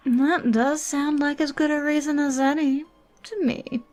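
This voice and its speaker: A woman's voice: high-pitched, fairly even intonation, measured pace, a little mellow. A woman playing a composed, frank goddess in a fantasy audio drama, with a poised and direct delivery. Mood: approving. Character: composed, frank goddess among squabbling deities. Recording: music under the voice.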